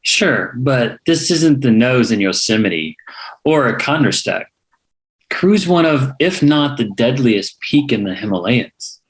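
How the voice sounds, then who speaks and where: A man's voice: mid-range voice, lively intonation, a little slow, natural voice, low-quality recording. Pushy young hipster journalist, acted by a man. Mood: curious, probing. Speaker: actor in a table read. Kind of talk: conversational script reading.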